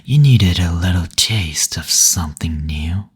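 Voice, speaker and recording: Suave demon receptionist, male actor: deep, heavily breathy voice, seductive and teasing, for intimate audio roleplay. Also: lively intonation, heavily acted. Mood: seductive, attentive. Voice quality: breathy, husky, near-whispered. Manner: slow, coy teasing with endearments and drawn-out hums.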